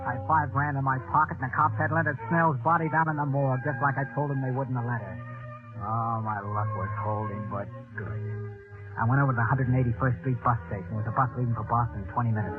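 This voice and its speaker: A man's voice: medium pitch, steady tone, fast, close to an everyday voice. An actor playing a cold, scheming, nervous man who narrates his own crime in 1940s radio style. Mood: calculating. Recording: tinny, band-limited old radio recording, music behind.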